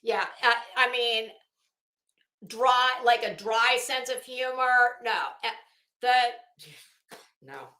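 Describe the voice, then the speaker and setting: Middle-aged female commentator, higher-pitched, deliberate and halting, casual live style, echoey room sound. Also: bright, forceful tone. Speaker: YouTube commentator and host. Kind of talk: casual live commentary with document read-outs.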